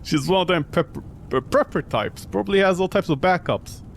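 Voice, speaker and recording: A man's voice: high voice, normal speed, gravelly, slight background noise. A man voicing a tough, suspicious male tenant in a visual novel, talking in blunt slang. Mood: wary, blunt.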